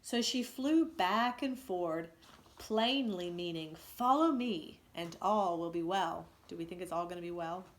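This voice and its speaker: A woman teacher reading a story aloud expressively to students, pausing to ask them questions. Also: fairly low pitch.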